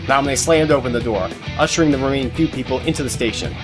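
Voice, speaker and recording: A man reads scripted story narration in a medium-pitched voice. Plucked guitar music plays clearly behind him throughout. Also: fast.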